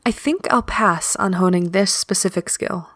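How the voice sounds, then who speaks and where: A woman acting in an audio drama as a wry, weary prisoner, sardonic and often hushed. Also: fairly low pitch, moderate pace, no echo, clean studio sound. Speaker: voice actor in a fiction podcast.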